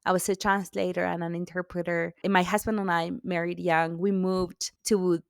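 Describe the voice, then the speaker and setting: Gravelly low-voiced woman from argentina, spanish is her first language, chatty author on a podcast, dry close sound. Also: level tone, moderate pace, no room sound. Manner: chatty, laughs often, self-correcting restarts. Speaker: author guest. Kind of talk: podcast interview.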